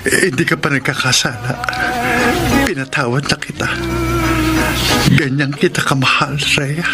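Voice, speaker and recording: A man's voice: fairly high pitch, moderate pace, lightly acted. A man acting a devoted, forgiving jilted lover in a sentimental radio drama, speaking earnestly and melodramatically. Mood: tender, devoted. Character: lovelorn, forgiving, devoted jilted man telling his love story. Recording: radio drama with loud music bed.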